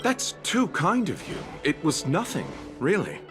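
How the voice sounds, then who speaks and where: A man voice acting a gentle, unruffled traveling doctor in a video game, with a polite, soothing manner. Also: on the high side, wildly swooping pitch, a little slow. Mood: modest, kindly. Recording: loud music behind.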